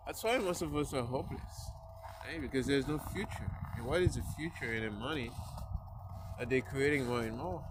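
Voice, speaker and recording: A man speaking English as a later language, giving an earnest motivational talk to his viewers, with many restarts and pauses. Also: mid-range voice, lively intonation, noisy background. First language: not English. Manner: halting, restarts words, earnest. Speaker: vlogger.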